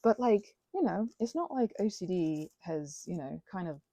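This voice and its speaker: A woman chatting casually in a rambling, digressive way to her audience, animated and poking fun at herself. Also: on the low side, moderate pace, no echo. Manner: animated, self-mocking, digressive. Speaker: hobbyist creator talking to her audience. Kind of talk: casual rambling chat, ASMR channel.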